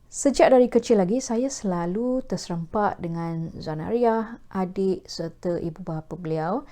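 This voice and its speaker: A woman with a Malaysian accent hosting a talk video, speaking formally with measured, newsreader-like diction. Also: medium pitch, warm tone. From Perak, Malaysia. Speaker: host of a talk video series. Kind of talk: formal scripted presentation to camera.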